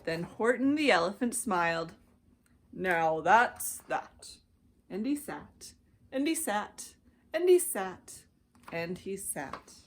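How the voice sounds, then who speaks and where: A woman with an American accent narrating a children's storybook with deliberate phrasing and dramatic pauses. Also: medium pitch, sing-song. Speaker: children's ministry director reading a storybook.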